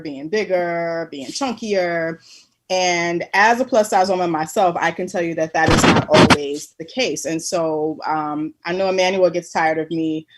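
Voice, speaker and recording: A woman co-hosting a casual live talk stream over a video call, bubbly and laughing often. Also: fairly low pitch. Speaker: talk show co-host. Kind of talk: casual livestream chat.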